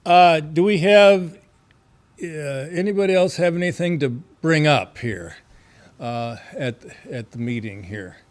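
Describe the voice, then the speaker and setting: A man's voice: fairly high pitch, measured pace, no room sound. A man chairing a club meeting informally, speaking in a polite, hesitant and rambling way.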